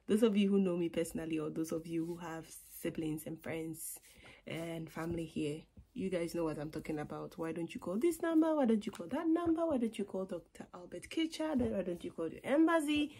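A woman's voice: on the low side, mellow, breathy edge. A young woman, talking casually to a phone camera in her small bedroom, sometimes sinking to a whisper. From Ghana. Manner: chatty, drops to a whisper at times, laughs between points. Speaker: vlogger. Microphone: phone camera on a table.

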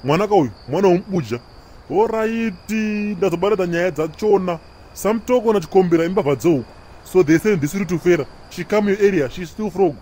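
A man's voice: high-pitched, expressive, brisk, mellow, slight background noise. A man voicing a stern, swaggering Zimbabwean police officer who blusters and interrogates in a comedy cartoon. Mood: mocking, questioning.

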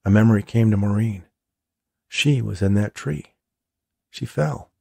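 A man's voice: on the low side, measured pace, gravelly, darkish tone, breathy edge, no room sound, clean studio sound. A man who is an author, narrating a chapter of his own story from the page. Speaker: author reading own book.

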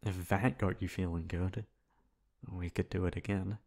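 Casting: male actor as dominant, flirty best friend turned lover for ASMR roleplay; low, gravelly, unhurried teasing. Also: steady tone, a little mellow.

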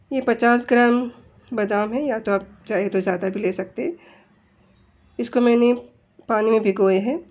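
A woman's voice: a little high, darkish tone, highs cut off. A woman with an Indian accent gives step-by-step instructions as a home cook in a recipe voice-over. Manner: explanatory, step-by-step.

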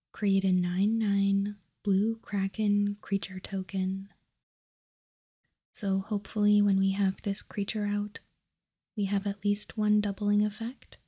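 Female ASMR host, very breathy whisper, mellow and even, calmly walking through trading cards. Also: mid-range voice. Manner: soft, slow, measured, calming. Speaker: host of a card ASMR channel.

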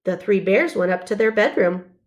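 A woman's voice: medium pitch, lively intonation, moderate pace. A woman narrating a children's storybook, reading aloud carefully and expressively.

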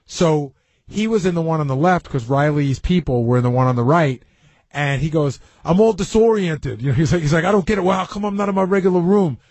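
Male radio staffer, quick, slightly high voice, casual anecdotes with quoted dialogue, dry studio. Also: no echo. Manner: animated storyteller who acts out dialogue. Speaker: radio show producer.

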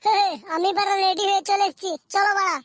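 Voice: very high voice, little pitch movement, quick pace, dry recording, studio quality. A performer voicing a cheeky, whiny, foul-mouthed young bridegroom in a slapstick comedy cartoon. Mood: cheeky, eager.

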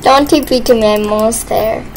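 A woman's voice: fairly high pitch, steady tone, moderate pace, rough recording. A woman chatting casually while playing a video game. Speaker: gamer playing a role-play game. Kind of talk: casual gameplay chat. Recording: faint background noise.

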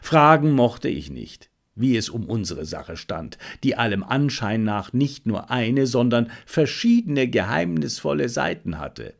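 A man's voice: mid-range voice, sing-song, measured pace, vocal fry, hardly any pauses. A man reading a detective story aloud as scripted, dramatic audiobook narration.

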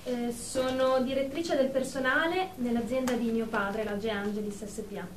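A woman's voice: on the high side, fairly even intonation, normal speed, close to an everyday voice. An actress playing a haughty, touchy female executive in her thirties, a prim and defensive patient in a radio drama. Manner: prim, self-important, defensive. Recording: distant, echoey room with faint noise.

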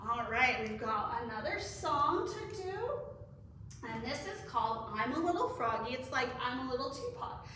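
A woman's voice: high-pitched, lively intonation, moderate pace, pushed and bright. A middle-aged woman, a children's librarian, leading story time with cheerful sing-song counting and songs, recorded by a camera across the room. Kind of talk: story time reading and action songs for kids. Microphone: far camera. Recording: echoey room from far off, faint noise.

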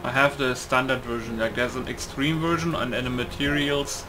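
A man's voice: mid-range voice, fairly even intonation, normal speed. Rambling man gamer, noisy recording. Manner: rambling, thinking aloud, repeats words. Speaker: gamer commentating on own playthrough. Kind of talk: casual game commentary.

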